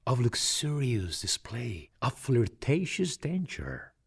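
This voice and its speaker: A man's voice: medium pitch, huge pitch swings, slow, gravelly, breathy edge, heavily acted. An actor playing a vain, flirtatious, campy male boss in a radio drama, theatrical and arch. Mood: arch, grandiose.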